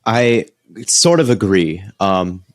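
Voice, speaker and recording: A man's voice: low-pitched, takes it slowly, no room sound. A young man co-hosting a sports podcast while under the weather, breaking down plays with enthusiasm. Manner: enthusiastic, animated analysis. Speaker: podcast co-host. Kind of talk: casual sports podcast chat.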